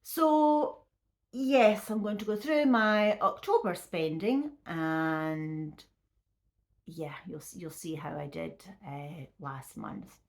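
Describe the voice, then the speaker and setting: A woman, chatting casually to camera as a vlogger, her voice a little croaky and her talk full of restarts and ums. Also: lowish voice, takes it slowly. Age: 50s. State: slightly croaky voice.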